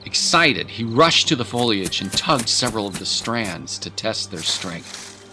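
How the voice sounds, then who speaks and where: Narrator: man, lowish register, dramatic storytelling read with clear music bed behind. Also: big pitch swings, measured pace.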